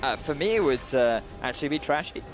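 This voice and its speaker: A man's voice: fairly high pitch, fairly quick. A man with a Welsh accent giving color commentary on an esports match, laughing often as he breaks down plays. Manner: laughs often, excitable play analysis. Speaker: esports caster. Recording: music bed under commentary.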